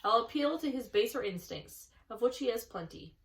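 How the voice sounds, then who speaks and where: A woman's voice: a little high, lively intonation, normal speed, vocal fry. A woman voicing a blunt, persuasive old sheep, lecturing firmly in a storybook reading. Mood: reasoned, scheming. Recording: echoey room, distant mic.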